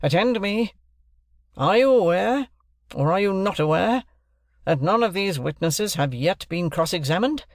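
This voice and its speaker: Man voicing a bullying, high-pitched gentleman cross-examiner. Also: normal speed, no echo, studio quality, lightly acted. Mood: hectoring. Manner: hectoring, interrogative, authoritative. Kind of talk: classic novel narration, character voice.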